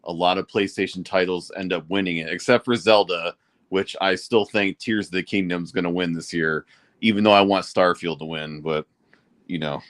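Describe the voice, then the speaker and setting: A man's voice: sits low, fairly even intonation, moderate pace, a bit bright, dry recording, studio quality. A man chatting on a gaming podcast in a laid-back way, with lots of "like" as he talks things through. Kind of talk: casual gaming podcast chat.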